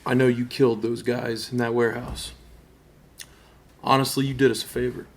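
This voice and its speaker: Man playing hard police detective, mid-pitched, sarcastic, confrontational, crime drama read. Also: level tone, moderate pace, close to an everyday voice. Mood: threatening. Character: hard, scornful police detective interrogating a suspect.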